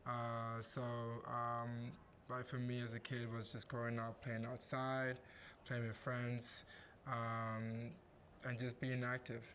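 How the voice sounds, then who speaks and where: A man in his thirties from Rwanda, a wheelchair basketball athlete answering interview questions, halting with many fillers and restarts. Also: mid-range voice, completely flat delivery, a bit bright, slight background noise. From Rwanda, raised in southern Africa.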